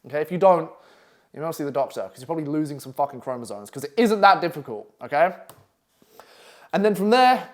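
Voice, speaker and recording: Brash, fast-talking Essex man. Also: on the high side. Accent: Essex English. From England. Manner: brash, profane, pushy. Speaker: copywriting coach. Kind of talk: online masterclass presentation. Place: London flat.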